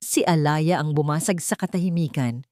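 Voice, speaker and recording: Lower-pitched woman narrating a dramatic story. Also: normal speed, dark tone, no echo, clean studio sound.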